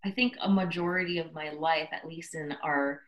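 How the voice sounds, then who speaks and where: Reflective lower-pitched Canadian woman. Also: steady tone, normal speed, muffled recording. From Canada, Toronto area. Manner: reflective, thinks aloud. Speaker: podcast guest, social impact worker. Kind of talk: personal reflective interview answers.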